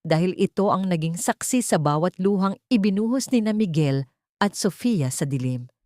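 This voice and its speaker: A woman's voice: on the low side, lively intonation, moderate pace, warm tone, no echo, clean studio sound. A woman with a Filipino accent giving a dramatic scripted narration as an audiobook narrator.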